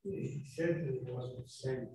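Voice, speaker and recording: A man in the audience, asking a long, rambling, halting question. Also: medium pitch, warm tone, slight background noise. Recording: echoey hall, distant mic.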